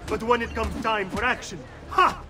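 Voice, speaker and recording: High-voiced, arrogant young Italian bully. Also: male voice, expressive, moderate pace, noisy background. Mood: taunting, sneering. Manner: taunting, boastful. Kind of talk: dramatic video game acting.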